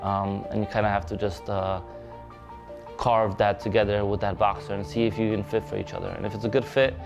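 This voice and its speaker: A young man from Poland with a Polish accent, a police officer and boxing manager, speaking in a halting, earnest way with many restarts in a documentary interview. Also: lowish voice, flat delivery, vocal fry, over music.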